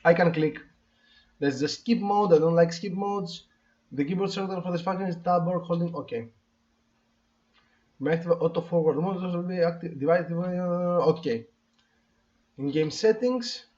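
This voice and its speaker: A man hosting a casual game playthrough, chatting haltingly and reading the on-screen text aloud with his own comments. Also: high voice, darkish tone. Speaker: host of a gaming playthrough. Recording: dull lo-fi mic, music behind. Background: game background music.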